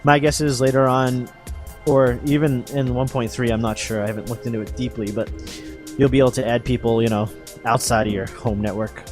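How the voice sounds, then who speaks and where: A man's voice: medium pitch, steady tone, brisk, darkish tone. Man explaining game updates over music. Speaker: podcast host reading update news. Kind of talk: casual explanatory podcast talk about game updates.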